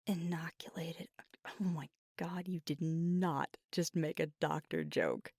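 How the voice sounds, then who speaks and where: Female actor as werewolf girlfriend for ASMR roleplay; hushed, husky with some fry, guarded and tender, very dry close mic. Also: low-pitched, dry recording, heavily acted. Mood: amused. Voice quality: breathy, often near a whisper. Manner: halting, hushed, confessional, with pauses. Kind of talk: intimate ASMR audio roleplay. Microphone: close microphone, dry.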